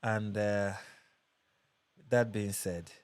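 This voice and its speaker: A man's voice: on the low side, steady tone, very slow, clear, resonant tone, no room sound. A young Nigerian man hosting a casual livestream, reading viewer comments and chatting with restarts and repeats. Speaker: livestream host.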